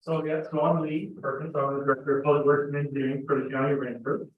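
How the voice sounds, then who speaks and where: A man's voice: on the high side, level tone, fast. A man with an Irish accent giving informal answers as a public works director at a council meeting. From Ireland. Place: meeting room heard over a video meeting. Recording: echoey meeting room, distant muffled mic, faint hiss.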